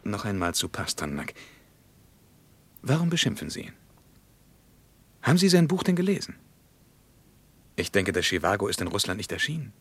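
An actor playing a sceptical West German individualist in a radio play, probing and arguing insistently. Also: male voice, fairly low pitch, very animated, normal speed, pauses often, breathy edge, no echo, heavily acted. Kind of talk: mid-20th-century German radio play dialogue.

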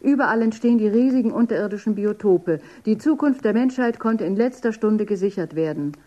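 Actress as a mellow-voiced woman under interrogation. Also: mid-range voice, animated, a little fast, dull audio, natural voice. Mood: factual, reciting. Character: composed, dreamy woman under interrogation in a dystopia. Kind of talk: German radio drama acting.

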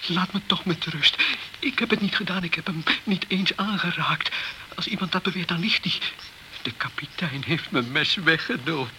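A man's voice: on the high side, normal speed, pushed and bright, a little hiss or hum, poor audio. A man playing a defensive, frightened sailor in a crime radio drama, guarded and evasive in his answers. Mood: panicked. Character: defensive, frightened male sailor hiding the truth.

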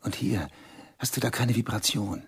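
A man's voice: mid-range voice, moderate pace, slightly rough. A man acting a cultured, easily offended art lover in a radio play, speaking emphatically and pedantically. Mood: earnest, precious.

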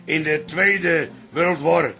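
A man's voice: a little high, slow pace, vocal fry, bright, forceful tone, soft background music, exaggerated voice. Boastful old Dutch father. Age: older. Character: boastful old father bragging of wartime heroics. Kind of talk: radio play acting.

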